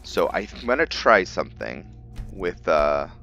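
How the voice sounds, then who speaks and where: A man's voice: low-pitched, big pitch swings, unhurried, gravelly, bright, forceful tone, dull audio, soft background music. A man giving casual, chatty gameplay commentary as a video host, thinking aloud with enthusiasm.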